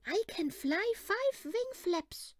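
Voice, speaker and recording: Storybook young bird, female reader acting: boastful, teasing, very high swooping voice. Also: very animated, takes it slowly, no echo, studio quality, exaggerated voice. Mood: proud. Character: boastful little baby bird and teasing brother.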